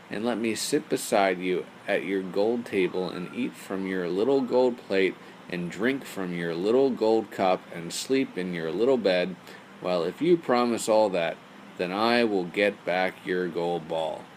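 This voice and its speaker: A man's voice: deep voice, a little slow, a little mellow, a little hiss or hum, rough recording. A man voicing a talking storybook frog who pleads and bargains insistently for friendship. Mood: earnest bargaining.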